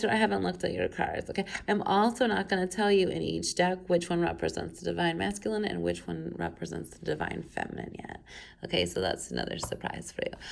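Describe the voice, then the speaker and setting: A woman's voice: lowish voice, gravelly. Stuffy-voiced woman with a head cold. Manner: chatty, rambling asides with many fillers. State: has a cold, stuffed up and coughing. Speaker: tarot reader. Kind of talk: casual pick-a-pile tarot reading to camera. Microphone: camera mic.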